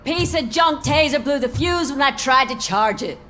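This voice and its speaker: An actress playing a sarcastic policewoman in a video game, griping in an animated, sardonic way. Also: sits high, normal speed, faint music behind. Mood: exasperated. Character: sarcastic, grumbling female beat cop. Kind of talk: scripted game dialogue.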